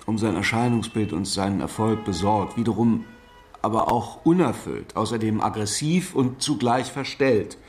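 An actor playing a measured, matter-of-fact police investigator in a German radio crime drama, speaking deliberately and precisely. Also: male voice, fairly low pitch.

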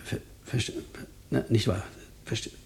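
An actor playing a nervous Western comedian who stammers and hesitates constantly. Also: male voice, takes it slowly, a little hiss or hum, natural voice. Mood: flustered. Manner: stammering, hesitant, full of fillers. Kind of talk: 1990s radio play acting.

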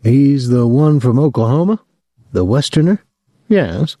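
A man's voice: medium pitch, expressive, measured pace, mellow, no echo, clean studio sound, voice pushed up. A man reading aloud, voicing a bitter, possessive mother near fifty who accuses and clings. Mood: fretful.

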